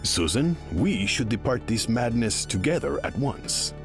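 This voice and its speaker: A man's voice: mid-range voice, big pitch swings, measured pace. A man voicing a haughty, flirtatious king of the sea for a video game, with pompous, courtly phrasing. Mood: indignant. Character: haughty, flirtatious undersea king, wounded pride. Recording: music behind.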